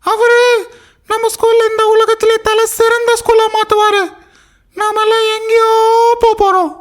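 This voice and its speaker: Super high squeaky cartoon school kid, bossy and whiny, stays on one note. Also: female voice, little pitch movement, normal speed. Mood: insistent. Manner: bossy, complaining. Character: pushy, excitable schoolboy cartoon character, squeaky voice. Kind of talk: cartoon dialogue.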